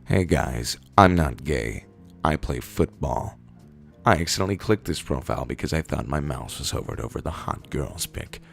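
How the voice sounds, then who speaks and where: A man with a hoarse, gravelly voice playing a defensive, bragging teenage football jock who stammers and blusters in a comic reading. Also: a bass voice, lively intonation, normal speed, fairly bright tone, faint music behind, exaggerated voice. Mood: awkward, defensive.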